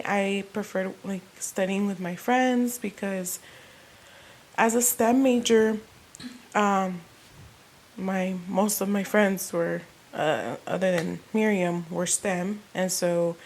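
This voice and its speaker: A young woman co-hosting a casual podcast, a little congested, taking her time with each thought. Her pitch sits in the middle range, and her delivery stays unhurried and playful. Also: darkish tone. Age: young adult. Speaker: podcast co-host. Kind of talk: casual chat.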